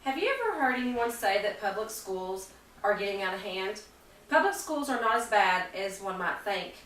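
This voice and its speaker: A woman giving a persuasive speech for a class, earnest and halting, often restarting her sentences. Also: mid-range voice, big pitch swings, fairly bright tone. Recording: echoey room, mic far off.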